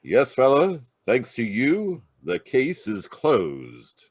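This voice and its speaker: An actor playing a brave, steady Mountie sergeant in an old-time radio adventure, authoritative and reassuring. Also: male voice, medium pitch, slow pace, slightly rough, no echo, full character voice. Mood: commanding, reassuring. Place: remote online performance from a close mic.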